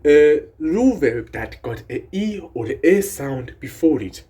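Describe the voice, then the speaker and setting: A man's voice: on the high side, big pitch swings, slow pace, warm tone, slightly rough. A young man whose first language is Persian, casually explaining language grammar in a rambling, swearing, self-deprecating way. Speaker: language video creator.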